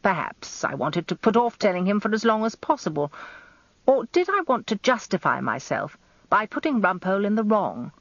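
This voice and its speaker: A woman's voice: medium pitch, very animated, normal speed, no echo, muffled recording. An older woman with an English accent giving scripted first-person dramatic narration as a barrister's wife, confiding and wry.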